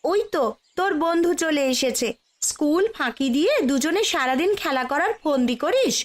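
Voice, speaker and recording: A woman's voice: high voice, animated, moderate pace. A woman voicing a young elder sister in a cartoon story, chiding yet caring. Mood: chiding, kindly. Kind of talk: Bengali cartoon story voice acting.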